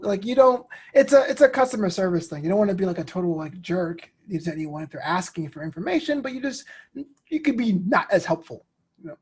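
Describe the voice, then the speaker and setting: A man with a husky voice talking casually as an interview guest, telling things in an animated, informal way. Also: high-pitched.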